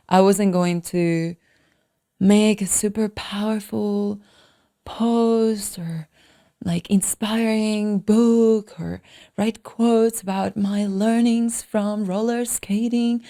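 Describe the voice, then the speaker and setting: A woman from the Dominican Republic giving a motivational solo podcast talk as a coach, speaking emphatically and deliberately, repeating phrases for emphasis. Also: mid-range voice, warm tone.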